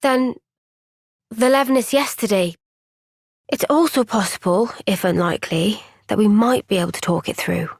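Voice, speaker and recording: A woman playing an earnest, gentle young female soldier in a video game cutscene, speaking with measured, sincere resolve. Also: mid-range voice, gravelly, dry recording, clean studio sound. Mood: thoughtful, earnest.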